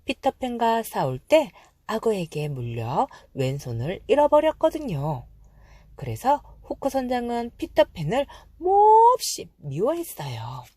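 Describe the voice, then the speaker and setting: A woman narrating a children's storybook from a script, with expressive, dramatized phrasing for young listeners. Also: fairly high pitch, a little slow, dry recording.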